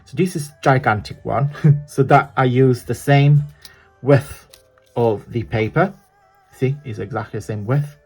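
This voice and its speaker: A man's voice: medium pitch, unhurried, breathy edge, soft background music. A man with an Italian accent, chatting casually while showing a crafting tutorial, rambling and correcting himself as he goes. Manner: chatty, rambling, self-correcting, laughs often. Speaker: craft vlogger.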